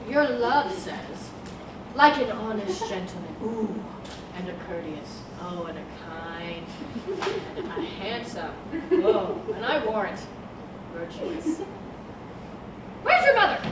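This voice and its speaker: Woman acting a high-pitched, groaning old nurse in echoey room. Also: sing-song, full character voice. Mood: coy, stalling. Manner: theatrical, exclaiming, drawn-out groans and sighs. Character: garrulous, aching old nurse, comic, teasing and complaining. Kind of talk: Shakespearean stage acting. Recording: distant, very echoey room with background noise.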